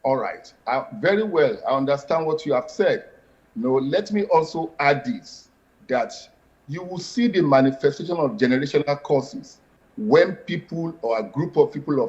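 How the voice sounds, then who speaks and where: Measured man, lo-fi sound. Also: medium pitch. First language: Yoruba. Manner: measured, explanatory, formal wording. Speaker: guest, spiritual practitioner. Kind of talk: interview answers.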